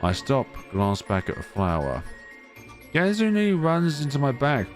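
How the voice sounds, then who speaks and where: A man's voice: mid-range voice, wildly swooping pitch, measured pace. A man hosting a gaming video, reading a visual novel aloud and adding his own asides. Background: music.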